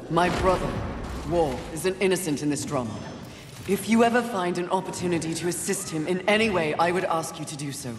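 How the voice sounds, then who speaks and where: A woman's voice: on the low side, lightly acted. An actress playing a stern, resolute warrior horseman in a video game cutscene, speaking in a solemn, formal, declamatory way. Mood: solemn, resolved. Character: stern, resolute female horseman warrior sworn to protect humanity. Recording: echoey hall reverb, faint background noise.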